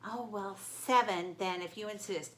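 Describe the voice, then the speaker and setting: A woman voicing a nervous young satyr man who fakes being a bride, speaking in a fluttery, coy falsetto. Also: measured pace, sharp tone.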